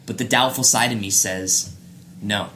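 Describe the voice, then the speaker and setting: A man's voice: lowish voice, unhurried, bright, forceful tone, a little hiss or hum. A man hosting a casual gaming podcast, chatting in an enthusiastic, opinionated way. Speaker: podcast host.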